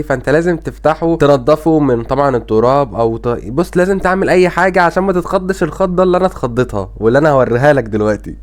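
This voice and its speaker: A man with an Egyptian Arabic accent narrating a casual gaming vlog in an informal, emphatic way, his voice tired and worn. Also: fairly high pitch. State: tired, voice strained from being worn out. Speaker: gaming YouTuber.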